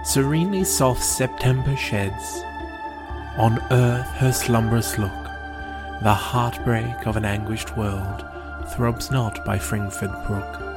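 A man reading poetry and scripted narration in a solemn, deliberate manner. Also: medium pitch. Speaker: poetry podcast reader. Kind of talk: slow, measured poetry recitation and scripted narration. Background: prominent calm new-age music.

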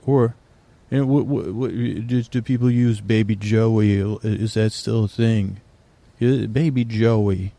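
Low gravelly guy rambling slow and drowsy, tons of restarts, bedtime podcast host. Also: mellow, no echo. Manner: meandering, halting, with many restarts and fillers. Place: quiet corner of a busy airport. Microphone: recording on location.

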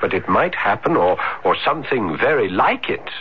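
Haunted, fervent young student, widely swooping voice. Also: male voice, on the low side, big pitch swings, normal speed, slight background noise. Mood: haunted, intense. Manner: intense, theatrical, hesitant at times. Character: tormented, brooding young male student, earnest and fervent. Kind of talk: American radio drama acting, dramatic narration. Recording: muffled vintage radio drama recording.

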